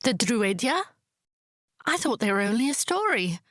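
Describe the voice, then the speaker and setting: A woman's voice: fairly high pitch, big pitch swings, normal speed, a bit bright, no echo, clean studio sound. A woman playing a timid young female temple servant, deferential and full of questions, in a visual novel. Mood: anxious wonder. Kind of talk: dramatic visual novel acting.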